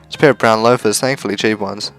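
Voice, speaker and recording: Low-voiced man narrating a visual novel. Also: fairly even intonation, quick pace, fairly bright tone. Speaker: gaming video host. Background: faint music.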